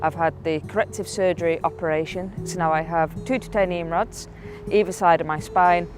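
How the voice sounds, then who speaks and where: A woman with a slightly low voice answers documentary interview questions in a chatty, upbeat manner. Music plays behind her. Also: fairly even intonation, normal speed. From Manchester, England. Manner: chatty, upbeat, self-described jolly.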